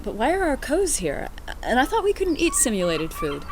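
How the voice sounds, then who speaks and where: A woman's voice: sits high, wildly swooping pitch, moderate pace, noisy background. An actress playing an affectionate female commander, wry, gracious and playful, in a science fiction audio drama. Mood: fond, teasing. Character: affectionate, teasing female commander from another planet.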